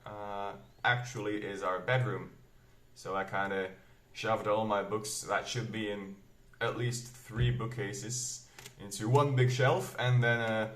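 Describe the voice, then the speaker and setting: Flemish man with a low voice, echoey room. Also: fairly bright tone. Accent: Flemish, mixing American and British English. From Belgium. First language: Flemish dialect of Dutch. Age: 20s. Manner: rambling, self-correcting, unhurried. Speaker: book vlogger. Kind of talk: casual bookshelf tour monologue. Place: room at home.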